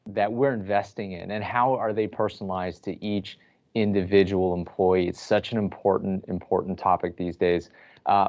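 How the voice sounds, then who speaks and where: A man's voice: fairly low pitch, darkish tone. A man moderating a panel on a video call, upbeat and affirming. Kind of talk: virtual panel discussion.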